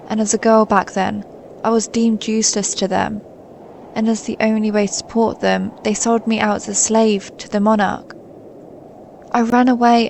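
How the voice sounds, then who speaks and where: A woman playing a centuries-old snow maiden in an intimate audio roleplay, a gentle, stoic and melancholy guardian spirit who speaks in a soothing, formal, measured way. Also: fairly high pitch, vocal fry, slight background noise. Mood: wistful, sorrowful. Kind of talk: scripted audio roleplay, intimate monologue to listener.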